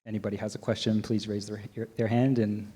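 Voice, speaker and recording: A Canadian man moderating a conference panel, talking in a relaxed way with many ums and restarts. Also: on the low side, fast talker, breathy edge. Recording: long hall reverb.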